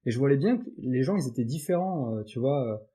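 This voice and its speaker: Homme posé au timbre sombre. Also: male voice, mid-range voice, animated, brisk, dark tone, slightly rough. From Paris suburbs, France. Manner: chatty, many fillers and restarts. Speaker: podcast guest. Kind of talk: casual interview chat.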